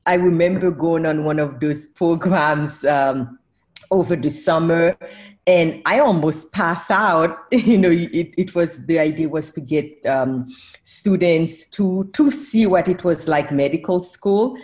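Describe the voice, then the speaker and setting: Haitian-born woman in her 40s, low voice, professor guest, laughs a lot, chatty. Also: unhurried. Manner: warm, laughs often, self-correcting. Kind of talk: casual podcast interview.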